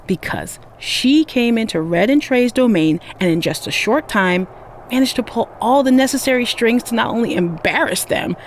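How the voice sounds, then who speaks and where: Assertive actress as a wrestling panel analyst. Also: female voice, a little high, animated, normal speed, a little hiss or hum, close to an everyday voice. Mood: admiring, assertive. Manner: assertive, pointed. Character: opinionated, argumentative wrestling studio analyst. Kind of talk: scripted sports panel debate.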